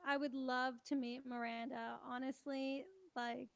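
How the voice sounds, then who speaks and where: A woman's voice: sits high, little pitch movement, a little slow, gravelly, fairly bright tone, clean tone, dry recording. A giggly woman from Toronto chatting casually as a podcast guest, on a noisy recording with clicks. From Toronto, Canada. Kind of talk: casual chat.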